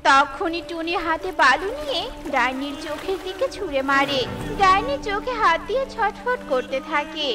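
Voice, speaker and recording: A woman's voice: extremely high pitch, lively intonation, normal speed, over loud music. A woman reading scripted narration for a cartoon story.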